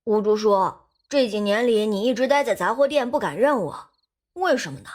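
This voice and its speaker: A woman's voice: mid-range voice, lively intonation, moderate pace, lightly acted. A woman voicing a clever, precocious four-year-old boy in an audio drama, with a wheedling, childish whine. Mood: urgent.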